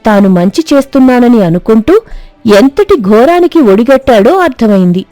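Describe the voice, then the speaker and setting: Mellow woman narrating a moral story. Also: a little high, very animated, normal speed, dry recording. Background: music.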